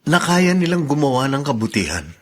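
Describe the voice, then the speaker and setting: A man playing a gently probing male friend. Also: fairly high pitch, very animated, brisk. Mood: questioning. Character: calm male friend who asks questions. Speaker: voice actor. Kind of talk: audio drama acting.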